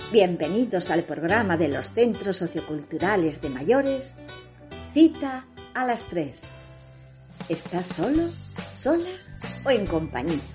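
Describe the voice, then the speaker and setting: A woman with a Spain Spanish accent reading a scripted radio introduction in a deliberate, inviting manner. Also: on the low side. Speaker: radio programme presenter. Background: music.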